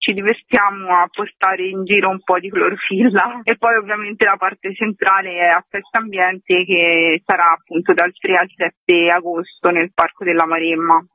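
A woman's voice: fairly low pitch, steady tone, normal speed, few pauses, dry recording. A woman, a festival curator giving informal answers about a program in a radio interview. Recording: tinny telephone line.

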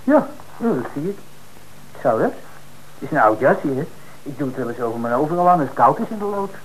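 High-pitched, defensive male suspect, noisy recording. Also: very animated, a little fast. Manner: colloquial, hesitant, then indignant. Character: defensive male working-class suspect protesting his innocence. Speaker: actor playing a suspect. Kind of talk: Dutch radio drama dialogue. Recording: noisy old radio broadcast recording.

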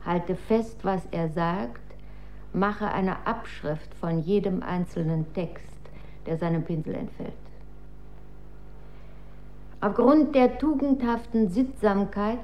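An actress in a radio drama playing a commanding, coolly scheming empress regent with a measured, formal manner. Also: female voice, medium pitch, dull audio, lightly acted. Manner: measured, imperious, formal proclamation. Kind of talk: German radio drama acting.

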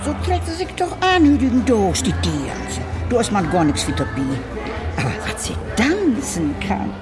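An actress playing a nosy, chatty older village gossip with a Northern German accent. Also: female voice, medium pitch, very animated, normal speed, natural voice. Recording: music behind the voice.

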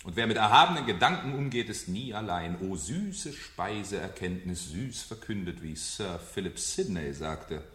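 An actor playing a mocking fire captain with a falsely hearty, theatrical, menacing manner in a 1970s German radio drama. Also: male voice, sits low, very animated, moderate pace, hardly any pauses, a bit bright. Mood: declaiming, taunting. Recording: echoey room, vintage radio play.

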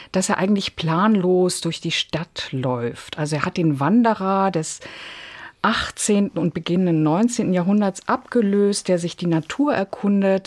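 A woman's voice: lowish voice, darkish tone. A woman speaking as a theatre expert in a radio interview, reflective, articulate and somewhat academic. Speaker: interview guest, theatre dramaturge.

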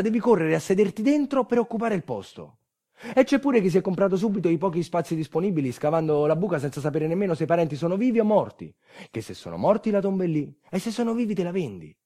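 Bitter quake survivor telling his tale onstage style, sardonic, talks fast, pitch swings around a lot. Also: a little mellow. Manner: sardonic, folksy, with wry asides. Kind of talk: theatrical storytelling monologue.

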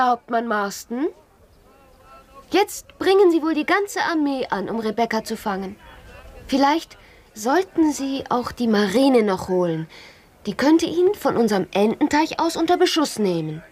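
High-voiced, sharp-tongued gentlewoman. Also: expressive, measured pace. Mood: teasing mockery. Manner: teasing, sardonic. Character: spirited, mocking young gentlewoman with a sharp tongue. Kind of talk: radio drama acting.

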